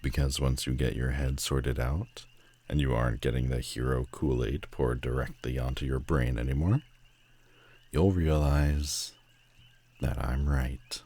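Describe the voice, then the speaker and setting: A man's voice: a bass voice, fairly even intonation, dark tone. A man playing a proud, sardonic telepathic villain with secret loneliness, speaking haughtily and dramatically in a roleplay audio drama. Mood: teasing, confident. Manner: haughty, dramatic, drawn-out emphatic phrasing.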